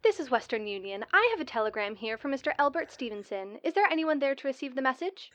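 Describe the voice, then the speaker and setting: A woman's voice: high-pitched, lively intonation, quick pace, a bit bright. A woman playing a polite, impersonal telephone clerk in an old radio drama, speaking formally through a telephone filter. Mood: brisk, formal. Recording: dull, band-limited phone-line sound.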